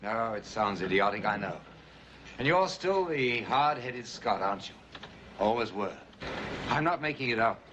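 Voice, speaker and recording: An actor playing a worried, polished businessman husband in a 1950s film drama, telling his story gravely and with measured phrasing. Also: mid-range voice, animated, close to an everyday voice. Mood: firm. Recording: old film soundtrack, faint background noise.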